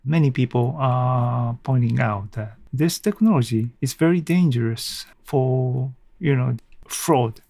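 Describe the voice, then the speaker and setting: Man, casual podcast host, slow halting delivery, non-native English phrasing, thinking aloud, dry sound. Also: medium pitch, dark tone, no room sound. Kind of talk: casual solo podcast monologue.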